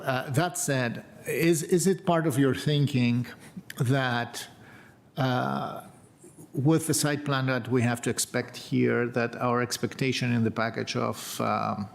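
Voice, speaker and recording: A man's voice: medium pitch, creaky, slight background noise. An American man on a county board, speaking earnestly at a public hearing with many uhs and repeated words.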